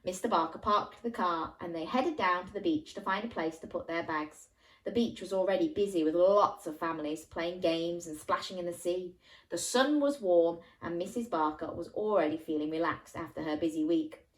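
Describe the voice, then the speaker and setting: A woman reading a children's story aloud, animated and playful, breaking off to ask the listener questions. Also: on the low side, normal speed, low-quality recording. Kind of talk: interactive bedtime story reading for young children.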